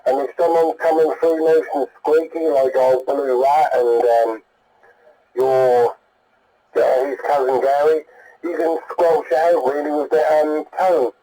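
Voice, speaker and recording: A man's voice: on the high side, fairly even intonation, a little slow, mellow, rough recording. A British man heard over CB radio, chatting in a blunt, colloquial, rambling way as an enthusiast. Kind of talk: casual radio chat.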